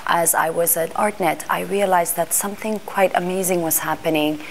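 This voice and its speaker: A woman's voice: fairly low pitch, fairly even intonation, moderate pace, slight background noise, low-quality recording. A middle-aged woman from Iran, raised in Greece and the US, speaking thoughtfully in an interview, with frequent restarts and fillers as she searches for words. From Iran, raised in Greece and Washington D.C. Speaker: art fair panel organizer. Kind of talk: interview monologue.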